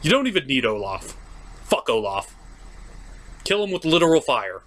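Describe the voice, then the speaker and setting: A man's voice: mid-range voice, very animated, normal speed, fairly bright tone. A man hosting a comedic cartoon review, recapping the plot in a sarcastic, mock-dramatic and profane manner. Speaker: cartoon reviewer, show host.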